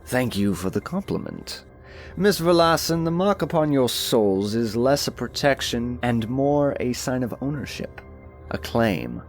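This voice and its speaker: A narrator voicing an urbane, aloof, sardonic nobleman with dry, erudite, measured phrasing in an audiobook. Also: mid-range voice, lively intonation, soft background music, close to an everyday voice. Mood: musing, sardonic. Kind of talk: audiobook character voice.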